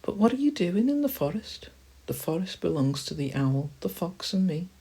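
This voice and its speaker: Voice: medium pitch, sing-song, moderate pace, dark tone, husky, voice pushed up. A man voicing a friendly, confident forest squirrel in a children's story, chirpy and assured in manner. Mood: helpful.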